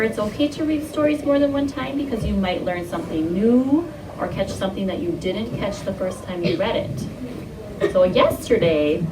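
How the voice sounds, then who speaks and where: A woman teaching young children in an interactive read-aloud, using simple instructions and frequent questions and prompts. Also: mid-range voice. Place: indoor classroom with carpet. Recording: echoey, noisy room, distant microphone.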